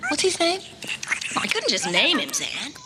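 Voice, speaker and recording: A woman's voice: sits high, very animated, a little slow. A woman playing a playful, flighty young alien woman in a sci-fi television drama. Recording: very noisy TV episode clip with clicks.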